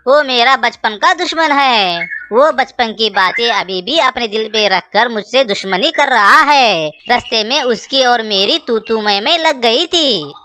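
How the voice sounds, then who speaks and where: A woman's voice: a little high, animated, unhurried, hardly any pauses, sharp tone, slight background noise. A woman voicing a hapless, henpecked male crow husband in a children's cartoon, pleading and melodramatic. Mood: complaining. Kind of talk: children's cartoon story dialogue.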